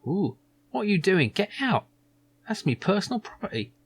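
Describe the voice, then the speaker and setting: A man voicing a gruff, grumpy older male factory worker, grumbling and dismissive. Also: sits high, big pitch swings, moderate pace, voice pushed up, heavily acted. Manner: grumbling, dismissive, calls others son.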